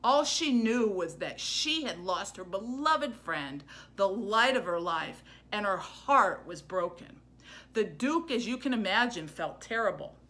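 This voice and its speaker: A middle-aged woman presenting a children's book for a library, with an expressive storyteller's delivery. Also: mid-range voice. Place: small plain room indoors.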